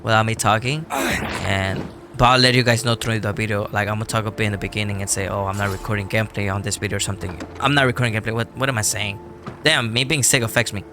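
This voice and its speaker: A man losing his voice from illness talks casually to his viewers, apologetic and rambling, clearing his throat often. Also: fairly low pitch, steady tone, quick pace, noisy background. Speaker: gameplay YouTuber. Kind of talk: casual talk to viewers before gameplay.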